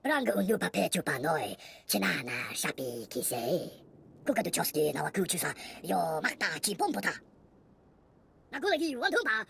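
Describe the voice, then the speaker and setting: A woman voicing an excitable small alien who chatters in a made-up tongue with a raspy voice. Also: fairly low pitch, normal speed, slight background noise, full character voice.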